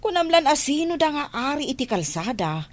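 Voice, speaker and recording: A woman's voice: high-pitched, big pitch swings, fairly quick. An actress playing an outspoken, indignant village councilwoman in a radio drama, with an Ilocano Filipino accent. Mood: complaining.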